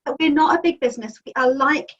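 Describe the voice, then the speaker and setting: A woman's voice: high-pitched, fast. A woman, a magazine editor chatting enthusiastically in an interview, with lots of ums. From New Zealand. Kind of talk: interview answers. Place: home office. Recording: distant, dull lo-fi call audio.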